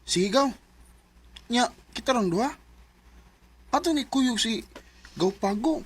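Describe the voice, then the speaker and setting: A man's voice: extremely high pitch, expressive, slow pace, husky, full character voice. An actor playing young Jonathan, a good-humored village boy, in a radio drama. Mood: easygoing. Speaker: main character in the drama.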